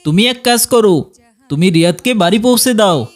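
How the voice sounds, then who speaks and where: A man's voice: high voice, lively intonation, moderate pace. A man voicing a strict, moralising schoolteacher in a cartoon, questioning and instructing his pupils. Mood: admonishing. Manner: admonishing, instructive. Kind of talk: cartoon dialogue.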